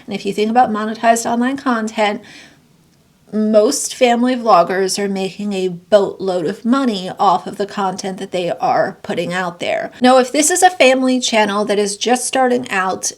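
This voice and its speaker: A woman's voice: mid-range voice, moderate pace. A woman with a congested, nasal voice, giving blunt, sarcastic commentary and reading news excerpts aloud. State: congested, getting sick. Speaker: commentary youtuber. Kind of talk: opinionated commentary.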